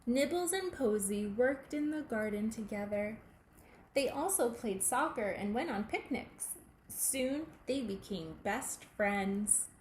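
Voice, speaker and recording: A woman reading a children's picture book aloud, in a deliberate, expressive way aimed at young listeners. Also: on the high side. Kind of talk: children's storybook read-aloud. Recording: echoey room with faint background noise.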